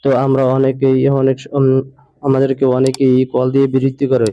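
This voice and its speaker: A man's voice: medium pitch, monotone, a little fast, dry recording. A man hosting a phone tutorial and walking through each step, talking steadily with frequent fillers and restarts. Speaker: tech tutorial host. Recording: muffled lo-fi voice-over.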